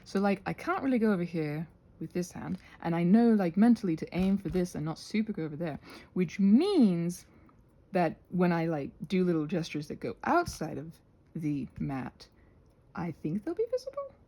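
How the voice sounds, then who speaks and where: Husky, creaky-voiced woman chatting over crafts. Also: fairly low pitch, normal speed. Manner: expressive, self-deprecating, meandering and tentative. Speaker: channel host. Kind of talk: rambling craft ASMR chat.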